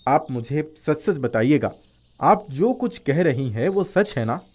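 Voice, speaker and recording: A man's voice: fairly high pitch, expressive, measured pace, a little mellow. A man voicing a brave, courteous young prince in a fairy tale, speaking formally and earnestly. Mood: wary, protective. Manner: formal, gallant, earnest delivery.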